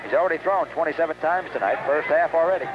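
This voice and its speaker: High-pitched American football announcer, male, noisy recording. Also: quick pace. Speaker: play-by-play sports announcer. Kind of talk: live American football radio commentary. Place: outdoors at a stadium. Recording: dull, noisy 1970s radio recording.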